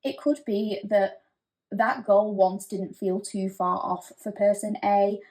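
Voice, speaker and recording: A woman giving a pick-a-card tarot reading in a gentle, reassuring, chatty way, with frequent fillers. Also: mid-range voice, steady tone, takes it slowly. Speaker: tarot reader.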